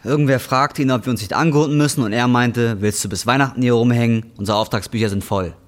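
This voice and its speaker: A man's voice: mid-range voice, fast talker, no room sound, close to an everyday voice. An actor playing a bitter, defiant, broke injured roofer in his twenties, in a German radio drama. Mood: matter-of-fact. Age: 20s. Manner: embittered, colloquial, confrontational. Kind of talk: German radio drama acting and brooding first-person narration.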